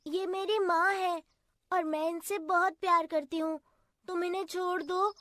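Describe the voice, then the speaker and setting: A woman's voice: very high-pitched, steady tone, unhurried, fairly bright tone, no echo, voice pushed up. A woman voicing a brave, kind little girl with an earnest, sweet manner in a children's cartoon story. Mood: pleading, determined.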